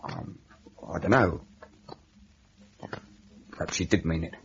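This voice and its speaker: An actor playing a nervous, lovesick working-class lodger in an old-time radio drama, stammering, evasive and defensive. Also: male voice, deep voice, takes it slowly, gravelly, breathy edge, full character voice. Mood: dejected, hesitant. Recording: dull, noisy old radio recording.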